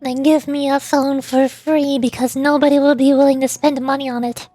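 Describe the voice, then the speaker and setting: High-pitched sleepy woman streamer. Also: flat delivery, moderate pace, warm tone, dry recording. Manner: sleepy, tired, rambling. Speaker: VTuber streamer and amateur voice actor. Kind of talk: casual livestream chat.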